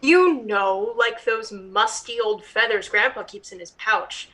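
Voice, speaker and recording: A woman's voice: mid-range voice, a little slow, pushed and bright, rough recording. A woman playing a cheeky, skeptical child with a teasing, questioning manner, in audio drama.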